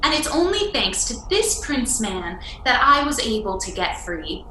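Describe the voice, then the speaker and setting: A woman's voice: fairly high pitch, very animated, a little slow, pushed and bright, heavily acted. A woman playing a sassy, bold little fairy-tale girl in a radio play, brash, cheeky and defiant. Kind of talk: irreverent radio play acting. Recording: roomy, faintly noisy recording.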